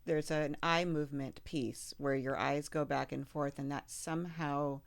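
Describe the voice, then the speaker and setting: A woman from Chicago with a low-pitched voice, hosting a podcast interview. She keeps a casual, supportive flow and backs the guest with short affirmations. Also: fairly even intonation, measured pace, vocal fry. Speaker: podcast host.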